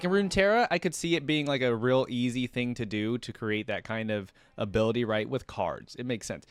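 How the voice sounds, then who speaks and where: A man's voice: fairly low pitch, dry recording. Enthusiastic man, rambling reaction host. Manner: enthusiastic, rambling, many fillers. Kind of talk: casual reaction commentary.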